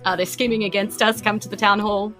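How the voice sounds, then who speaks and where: A woman's voice: mid-range voice, little pitch movement, fast, fairly bright tone, faint music behind. A woman narrating a tabletop game as game master, in a conversational, descriptive way.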